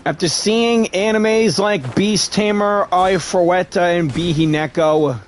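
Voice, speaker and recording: High-pitched man doing game commentary. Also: slow. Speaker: gaming video creator. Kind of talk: game playthrough commentary, reading dialogue aloud.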